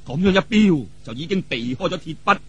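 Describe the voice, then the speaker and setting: A man's voice: a little high, lively intonation, a little fast, a bit bright, slightly rough, dull audio. A man telling a martial arts tale in Cantonese in a theatrical, colloquial traditional storytelling style, full of vivid exclamations and spoken sound effects. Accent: Hong Kong or Guangdong Cantonese.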